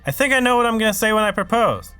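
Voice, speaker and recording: Bright, high-voiced earnest boyfriend. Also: male voice, animated, rapid-fire, clean tone, soft background music. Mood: upbeat. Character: earnest, sappy young man about to propose. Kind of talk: sitcom audio drama acting.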